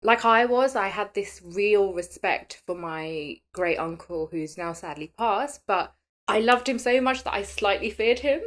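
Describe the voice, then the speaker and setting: A woman's voice: medium pitch, expressive, unhurried. A woman in her late thirties talking casually and candidly as the host of a personal podcast, in a chatty, conversational way. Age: late 30s.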